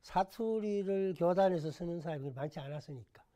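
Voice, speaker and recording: An older man from the Gyeongsang region with a Gyeongsang accent, a guest telling stories about himself in a relaxed talk-show interview, with chuckles and self-deprecating humor. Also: high voice, normal speed. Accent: Gyeongsang Korean. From Gyeongsang province, Korea. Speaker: guest, former education official.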